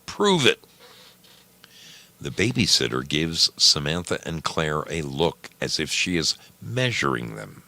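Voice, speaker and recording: A man narrating a story expressively, with measured, dramatic phrasing. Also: very deep voice, expressive, vocal fry, sharp tone.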